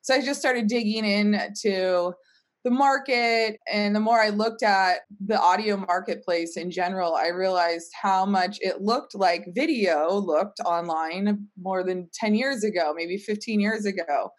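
Woman chatting casually, medium pitch. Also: unhurried. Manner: informal, frequently says "like". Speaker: company CEO and podcast guest. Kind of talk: casual interview talk.

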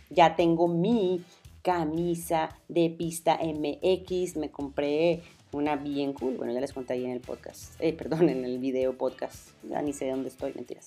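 Colombian-born woman podcast host, lower voice, rambly sign-off, faint music under. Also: warm tone. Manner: chatty, rambling, self-deprecating. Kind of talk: solo outro to camera.

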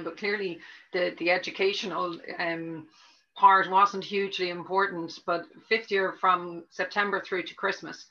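A middle-aged woman with a Dublin accent talks in a chatty, rambling way and circles back on her words. Her voice sits a little low but rings bright and forceful. The audio is dull and lo-fi. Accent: Dublin Irish. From Ireland. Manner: chatty, rambling, with frequent restarts and fillers. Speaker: tennis coach. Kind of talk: conversational interview answers.

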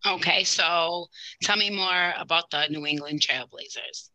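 A woman's voice: lowish voice, measured pace, sharp tone, rough recording. A woman hosting a sports podcast, introducing the show and interviewing a guest in an informal, upbeat way.

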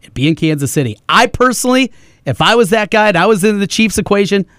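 A male sports radio co-host with a fairly high-pitched voice. He speaks in a conversational commentary style, close to the mic in a dry studio. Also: animated, fast talker, a bit bright, no room sound. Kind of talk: sports talk banter and commentary. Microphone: close studio broadcast microphone.

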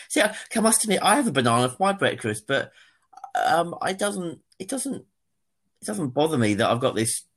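A man's voice: fairly high pitch, big pitch swings, moderate pace, long pauses, a bit bright, breathy edge. A man, co-hosting a podcast in casual chat, stammering into restarts and laughing often. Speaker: podcast co-host.

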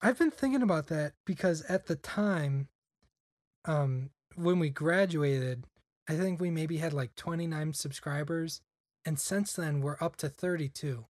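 A man's voice: fairly high pitch, unhurried, darkish tone, no echo, clean studio sound. A young man chatting casually on a podcast, rambling with lots of "like" fillers. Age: young adult. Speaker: podcast guest. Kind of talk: casual chat.

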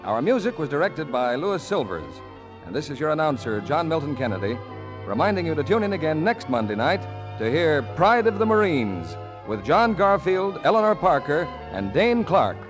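Animated male radio announcer. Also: on the high side, moderate pace. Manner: polished, emphatic announcer delivery. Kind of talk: 1940s radio announcing and sponsor pitch. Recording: 1940s radio broadcast with music.

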